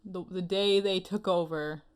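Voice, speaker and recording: A woman's voice: lowish voice, steady tone, a little slow, smooth. A woman hosting a casual podcast in a playful, giggly, jokey manner. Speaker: podcast host.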